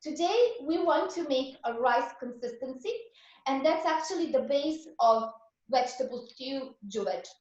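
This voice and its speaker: A woman's voice: high-pitched, expressive, moderate pace, heavy reverb, highs cut off. A woman from Serbia with a Serbian accent, a raw vegan chef guiding a cooking class in an earnest, encouraging way. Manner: earnest, encouraging, gives step-by-step instructions.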